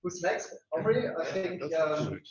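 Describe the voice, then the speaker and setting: A man's voice: high voice, big pitch swings, a little slow. Casual man hosting, echoey and distant. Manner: casual, hesitant, many fillers, laughs often. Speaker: event host. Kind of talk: moderating an event. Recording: echoey, far from the mic, dull, faint noise.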